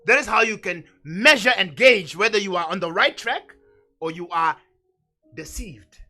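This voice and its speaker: A man's voice: sits high, lively intonation, moderate pace, bright, forceful tone, soft background music. A man teaching the Bible fervently, exhorting emphatically and repeating phrases for effect. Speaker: preacher and teacher on a religious show.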